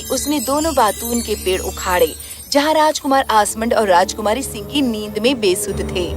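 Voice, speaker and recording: A woman narrates a children's fairy tale from a script. Her voice is fairly high, and music plays clearly behind her.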